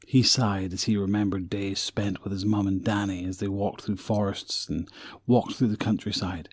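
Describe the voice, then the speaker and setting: A man's voice: lowish voice, breathy edge, dry recording, studio quality. A man reading scripted story narration for an audio drama.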